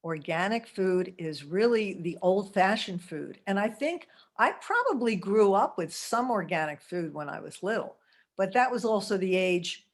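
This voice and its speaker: Older lady in her 70s chatting over a video call, laughs a lot, home computer mic. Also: on the low side. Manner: chatty, laughs often, asides like "okay?". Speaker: holistic health speaker. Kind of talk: casual talk on a video call.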